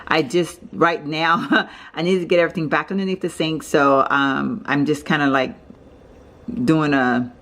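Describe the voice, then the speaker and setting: Vlogger, female, middle-aged, from Texas; low, raspy allergy voice, rambling chatty delivery, filmed on a camera mic in her kitchen. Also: fairly even intonation, measured pace, a bit bright. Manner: rambling, chatty, many fillers and self-corrections. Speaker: home organizing vlogger. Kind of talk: casual chatty home vlog. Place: home kitchen, indoors. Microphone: handheld camera mic, filming off screen.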